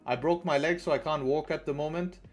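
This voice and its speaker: A young man from Dubai giving casual, relaxed commentary on a live trading stream, repeating phrases and calling viewers bro and man, into a headset in a small room. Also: a little high, fairly quick, faint music behind.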